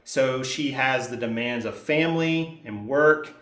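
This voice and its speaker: A man's voice: medium pitch, a little slow, a bit bright, reverberant. A man hosting an interview, asking long questions that wander and restart. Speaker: interview host.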